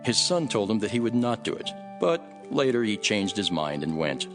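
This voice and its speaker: An actor playing a calm, authoritative male teacher and prophet in a dramatized scripture reading. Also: fairly low pitch, normal speed, music in the background. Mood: instructive.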